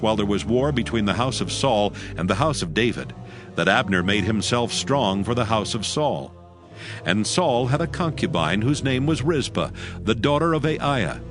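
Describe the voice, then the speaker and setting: A man narrating dramatized scripture from a script in a measured, formal manner. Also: medium pitch, sing-song, music in the background.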